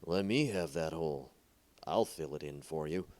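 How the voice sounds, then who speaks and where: Bargaining male concessionaire, read by a man: persuasive, plain-spoken, low-pitched storybook dialogue. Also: animated, normal speed, a little mellow. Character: pushy, bargaining village concessionaire.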